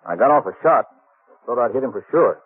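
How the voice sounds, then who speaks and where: A man's voice: mid-range voice, lively intonation, fairly quick, soft background music, natural voice. A man playing a determined frontier sheriff in a 1950s radio western, folksy and matter-of-fact. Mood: grim, determined. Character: plainspoken, determined frontier sheriff leading a posse. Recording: dull old 1950s radio recording.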